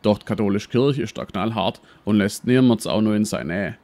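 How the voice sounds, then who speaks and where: Self-important professor played by a man, low-pitched, Swabian accent, brash and shady, for a comic detective audio drama. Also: normal speed, a little mellow, lightly acted. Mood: explaining. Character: brash, shady male history professor and treasure hunter. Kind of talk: parody detective radio play.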